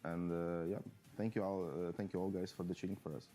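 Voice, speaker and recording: A man, a professional player, giving a halting interview full of fillers and restarts. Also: deep voice, level tone, dark tone, soft background music. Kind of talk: post-match player interview.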